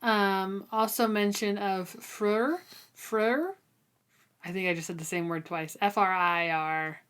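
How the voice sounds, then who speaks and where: A woman talks casually as co-host of a book discussion. She takes her time, at a slow pace, and her voice is mid-pitched. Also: steady tone, a bit bright, clean tone. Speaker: podcast co-host.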